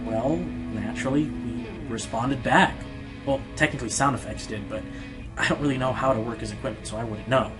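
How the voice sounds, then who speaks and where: A man's voice: mid-range voice, brisk, natural voice, poor audio. A man playing a passionate, self-deprecating male Pegasus radio host in an earnest radio play. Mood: hesitant, uneasy. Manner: earnest, hesitant, turning indignant. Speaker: radio show host being interviewed. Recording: music behind the voice.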